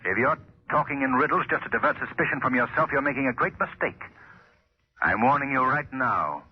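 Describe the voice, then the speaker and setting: An actor playing a commanding, ominous invisible crime avenger in a 1940s radio drama, speaking deliberately and formally. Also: male voice, medium pitch, expressive, husky. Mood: stern, menacing. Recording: muffled old 1940s radio recording.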